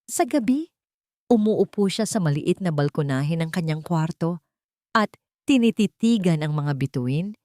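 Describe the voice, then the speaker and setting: A woman narrating a scripted audiobook drama in a measured, emotional way. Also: lowish voice, mellow, no echo, studio quality.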